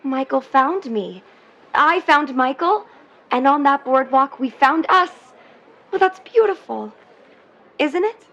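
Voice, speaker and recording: High-pitched young sweetheart, earnest, poetic, 1950s audio drama, dull band-limited sound. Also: female voice, animated, takes it slowly, pauses often, pushed and bright, full character voice. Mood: earnest, dreamy. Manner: dreamy, polite, poetic. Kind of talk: vintage-set audio drama acting.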